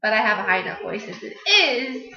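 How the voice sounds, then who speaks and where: A woman's voice: medium pitch, very animated, brisk, gravelly, bright, forceful tone. A young woman hosting a casual vlog with friends, chatty and giggly, in a small room at night on a laptop webcam. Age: young adult. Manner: chatty and giggly, breaks into singing. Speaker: vlog host. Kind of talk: casual chat. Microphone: laptop webcam at desk distance. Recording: roomy, dull lo-fi webcam sound.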